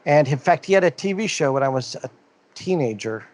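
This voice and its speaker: A man's voice: on the high side, animated, brisk, a little mellow, no echo. A middle-aged man from Ohio co-hosting a casual podcast, telling stories with rising bursts and mumbled asides. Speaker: podcast co-host. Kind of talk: casual chat.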